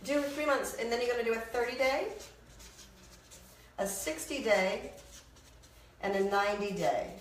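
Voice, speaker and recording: A woman's voice: fairly high pitch, a little slow, pauses often, slight background noise. Congested woman coaching in an echoey room. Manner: conversational, encouraging, with frequent restarts. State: congested from a cold. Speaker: business coach. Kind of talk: instructional talk to camera.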